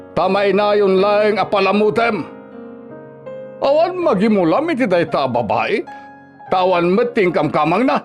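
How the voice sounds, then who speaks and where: A man's voice: sits high, exaggerated voice. An actor with a Filipino accent playing a domineering, scornful older father-in-law in an Ilocano radio drama, scolding and badgering. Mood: menacing, angry.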